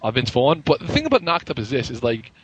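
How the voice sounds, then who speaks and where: Blunt, fast-talking husky man. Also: mid-range voice. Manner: blunt, animated, laughs easily. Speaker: podcast co-host. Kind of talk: casual banter.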